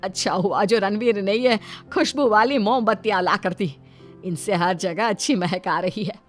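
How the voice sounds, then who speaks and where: A woman's voice: mid-range voice, moderate pace, faint music behind, close to an everyday voice. A woman voicing a melodramatic middle-aged mother-in-law in a cartoon story, exclamatory and sarcastic. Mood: pleased.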